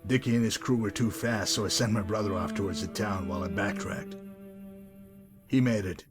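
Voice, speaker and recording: A man playing a calm, menacing hitman with a bitter past in a video game, speaking in a measured, deliberate, coolly condescending way. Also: on the low side, loud music behind the voice, close to an everyday voice. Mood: bitter, reflective. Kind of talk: dramatic video game mod monologue and dialogue.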